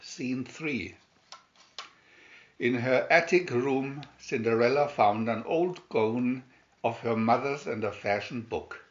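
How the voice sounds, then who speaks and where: A man narrating a fairy tale aloud as scripted storybook reading, with deliberate, expressive phrasing. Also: mid-range voice. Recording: slightly dull, lo-fi sound.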